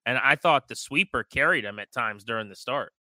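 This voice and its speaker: A man's voice: medium pitch, lively intonation, fairly quick, bright, forceful tone, dry recording. A man co-hosting a sports show, giving conversational baseball commentary.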